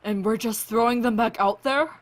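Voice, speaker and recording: A woman acting a weary, compassionate and wry scientist in an audio drama, speaking in a halting, thoughtful way. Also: a little high, natural voice. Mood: troubled.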